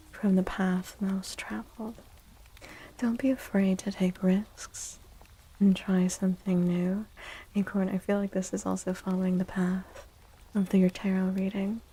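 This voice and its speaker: A woman speaking softly and soothingly in a whispery, breathy voice, as an ASMR livestream host. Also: medium pitch, poor audio. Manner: gentle, soothing, unhurried. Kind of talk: soft-spoken ASMR, tarot reading and chatting with viewers.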